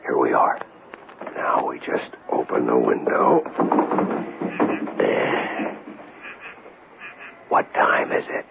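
An actor playing a stubborn American city police sergeant in a 1970s radio drama. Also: male voice, rumbling low, slow, gravelly, pauses often, heavily acted. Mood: brisk, determined. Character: stubborn male city police sergeant following his hunches. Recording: muffled, hissy old radio broadcast.

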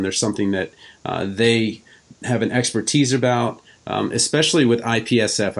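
A middle-aged man with a fairly low voice interviews a guest in a casual podcast style. He talks in a loose, rambling way, often rewording his questions midway. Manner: rambling, self-rephrasing questions.

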